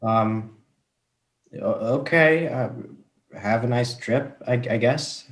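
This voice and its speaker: A man's voice: mid-range voice, slow, reverberant, a little hiss or hum, natural voice. A man playing a cowardly, self-important rich young husband in a comic staged reading, hesitant and stammering. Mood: awkward, muted.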